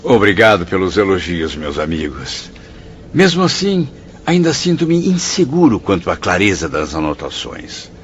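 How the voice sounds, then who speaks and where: An actor playing a melancholy, courteous writer spirit in an audio drama, speaking in a formal, earnest, literary way. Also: male voice, sits low, wildly swooping pitch, normal speed, slight background noise. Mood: earnest, humble. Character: Camilo, melancholy, courteous convalescent writer spirit, male narrator. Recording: dull audio with music behind.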